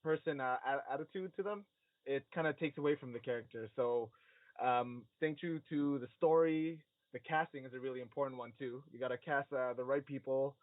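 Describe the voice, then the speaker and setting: A man with a medium-pitched voice chats casually on a podcast. His delivery is hesitant and halting as he works out his opinion. The recording is narrow and phone-like, with no room sound. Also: dry recording. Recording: narrow phone-like band, dry.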